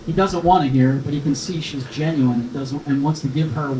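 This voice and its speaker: A man's voice: a little high, brisk, darkish tone. Male stage-directions narrator, scripted read, mid-range voice, echoey and noisy recording. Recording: roomy, echoing, clearly noisy recording.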